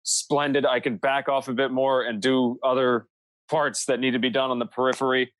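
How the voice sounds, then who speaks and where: Man, mid-range pitch, dry recording, casual panel talk, rambling explanations that restart midway. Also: flat delivery, moderate pace, a bit bright, dry recording, poor audio. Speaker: podcast guest, audio drama creator.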